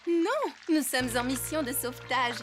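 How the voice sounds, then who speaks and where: Casting: boastful, warm young deer-girl, cartoon, very high and animated, music underneath. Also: measured pace. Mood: defensive, assured. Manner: emphatic, theatrical, self-assured. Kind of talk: French cartoon dubbing. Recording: music behind the voice.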